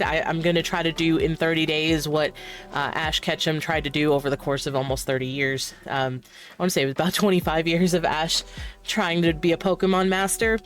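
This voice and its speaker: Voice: medium pitch, a bit bright. A stuffy, nasal voice with a cold, talking in a loose, rambling way full of fillers while narrating moves in a card game. Faint music plays underneath. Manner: rambling, many fillers, talks through moves. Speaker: streamer. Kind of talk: casual gameplay commentary.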